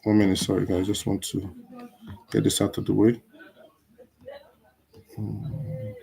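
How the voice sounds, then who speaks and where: A Nigerian man whose first language is Igbo, hosting a casual live stream and chatting with viewers, unhurried with many fillers. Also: fairly low pitch, wildly swooping pitch, vocal fry, crowd noise.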